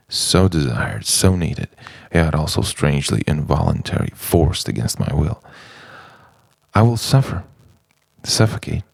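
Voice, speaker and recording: A man delivering an introspective, poetic spoken-word monologue in deliberate, halting phrases with dramatic pauses, his voice gravelly and sometimes sinking toward a whisper. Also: low-pitched, a little mellow, no echo.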